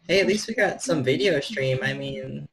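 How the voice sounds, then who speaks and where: A man's voice: mid-range voice, normal speed, creaky, a crowd in the background. A man speaking casually as a storyteller for a tabletop game on an online group call. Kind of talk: casual talk and improvised game narration.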